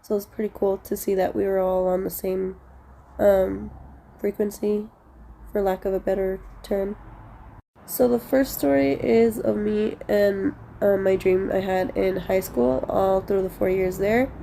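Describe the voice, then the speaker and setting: Stuffy-nosed young woman rambling thru a story, lots of ums, kinda gravelly low-key tone. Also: steady tone, unhurried, dark tone, slight background noise, poor audio. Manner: rambling, many fillers and restarts. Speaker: vlogger. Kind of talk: casual storytelling to viewers.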